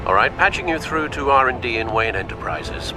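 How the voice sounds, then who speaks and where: Man playing a loyal aide with animated pitch. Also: a little fast, over music, natural voice. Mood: attentive. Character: loyal, attentive male butler and aide.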